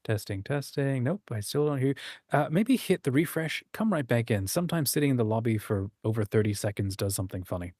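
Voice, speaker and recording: A man's voice: medium pitch, expressive, a little fast, darkish tone, no room sound, clean studio sound. A man hosting a livestream in a casual, informal and encouraging chatty way. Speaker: host of a writing community livestream.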